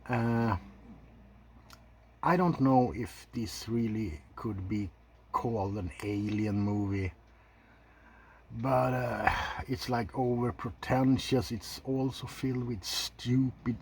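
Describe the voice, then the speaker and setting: A man's voice: medium pitch, steady tone, takes it slowly, darkish tone, slight background noise. A man hosting a casual ASMR video, giving blunt opinions in an informal commentary. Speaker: video host.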